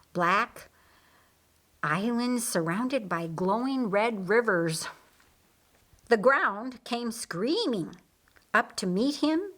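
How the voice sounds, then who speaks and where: A woman's voice: medium pitch, fairly bright tone. A woman reading a story aloud as an audiobook narrator, in scripted storytelling style.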